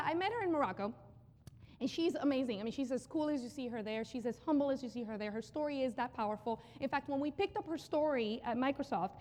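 High-voiced woman giving an energetic stage talk. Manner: energetic, conversational, repeats phrases for emphasis. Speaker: brand storytelling speaker. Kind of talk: live conference talk. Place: large hall with an audience. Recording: long hall reverb.

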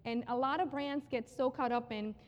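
A woman giving a live conference talk on brand storytelling, energetic and conversational, often repeating phrases for emphasis. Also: high voice, normal speed, lo-fi sound. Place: large hall with an audience. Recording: long hall reverb.

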